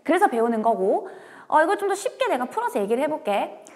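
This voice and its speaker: A woman's voice: sits high, very animated, quick pace, a little mellow, in a large hall. A woman teaching a grammar lecture, talking straight to her students and moving from casual talk into polite speech.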